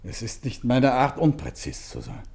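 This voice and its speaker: A cultured, nervy gentleman in a radio crime play. His mid-pitched voice swings widely, formal and precise yet high-strung, in a roomy, echoing space. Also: wildly swooping pitch, moderate pace, creaky. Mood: formal, defensive. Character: cultured, nervy male music publisher under questioning. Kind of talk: German radio crime drama acting. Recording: roomy echo.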